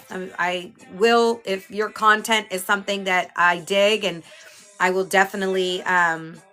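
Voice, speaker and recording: A chatty woman hosts a casual livestream, her voice bright and forward and a bit stuffy from a cold. She greets viewers one by one and keeps plugging the show. Faint music sits behind her. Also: mid-range voice. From Houston, Texas. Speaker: livestream host.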